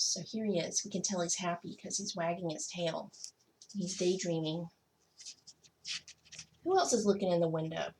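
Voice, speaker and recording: A woman's voice: mid-range voice, a little hiss or hum, poor audio. A woman reading a children's picture book aloud expressively, stretching out key words for emphasis. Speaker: school technology coordinator reading to children.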